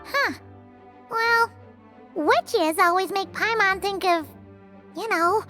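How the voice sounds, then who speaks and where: Tiny fairy sidekick, extremely high squeaky voice, bright, excitable, suspicious, video game character. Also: female voice, slow pace, soft background music, full character voice. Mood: curious, puzzled. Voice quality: squeaky, childlike. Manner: refers to herself in third person, exclaims.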